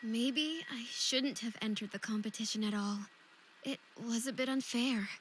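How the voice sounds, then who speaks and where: A woman's voice: on the high side, moderate pace, close to an everyday voice. An actress playing a young fairy woman in a visual novel game, proud and sensitive with a playful streak, speaking very expressively. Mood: downcast. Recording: background noise and ambience.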